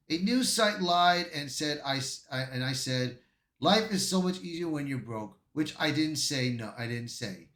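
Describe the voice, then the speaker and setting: A man's voice: a little high, a bit bright. A man giving casual online commentary and reading aloud, often stumbling over his words. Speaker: YouTube commentator. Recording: roomy, echoey voice.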